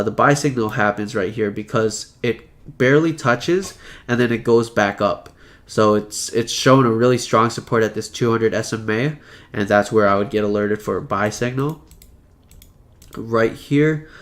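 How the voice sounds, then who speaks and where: A young man with a stuffy, sick voice, talking casually through a chart tutorial with many "uh" fillers. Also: on the low side. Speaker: trading channel presenter. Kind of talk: screen-share chart tutorial.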